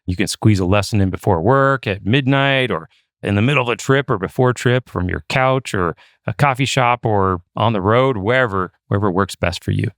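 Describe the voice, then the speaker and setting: A chatty man with a low voice co-hosts a podcast, talking loosely and dropping in fillers as he goes. His voice sounds close and dry, with no room echo. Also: moderate pace, fairly bright tone. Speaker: podcast co-host. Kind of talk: casual podcast chat.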